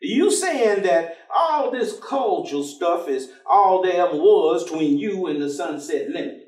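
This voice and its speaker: Animated, echoey folksy man. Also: high voice, unhurried. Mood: thoughtful. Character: folksy, probing, streetwise man, patient and wry. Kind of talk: staged play reading. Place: theatre stage. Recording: distant, echoey theatre stage recording.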